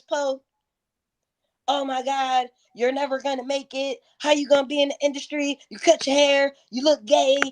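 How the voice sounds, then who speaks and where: A woman's voice: high voice, a little hiss or hum. A woman in her thirties telling stories candidly in a casual interview, with slangy, profane, emphatic phrasing. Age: 30s. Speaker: interview guest, music performer.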